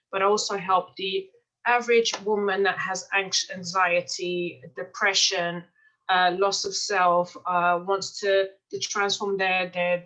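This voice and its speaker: A bright, clear woman tells her stories in a relaxed, chatty way. She often restarts her sentences and fills pauses with "um" and "uh." Her pitch sits in the middle of the range. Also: rough recording. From Mozambique. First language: Portuguese. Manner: chatty storytelling, frequent fillers and restarts. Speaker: life coach and client. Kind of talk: testimonial interview, casual.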